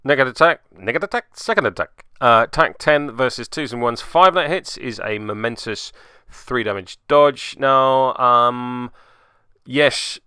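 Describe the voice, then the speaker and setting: A man's voice: mid-range voice, measured pace, sharp tone, smooth, dry recording, clean studio sound. A man narrating a tabletop game play-by-play in voice-over, with wry, self-deprecating asides. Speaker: host, hobby gaming channel narrator.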